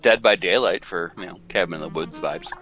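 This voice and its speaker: A man's voice: deep voice, brisk, a bit bright. A young man chatting casually with joking asides. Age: young adult. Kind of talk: casual chat.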